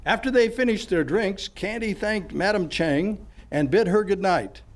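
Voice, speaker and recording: A man's voice: high-pitched, a little slow. A man reading scripted narration and announcements in the style of an old-time radio show.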